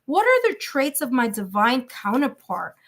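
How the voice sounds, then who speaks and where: A woman's voice: high voice, big pitch swings, a little slow, fairly bright tone. A woman with a New York accent giving live tarot readings in a chatty, reassuring way, thinking aloud with fillers. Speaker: tarot reader.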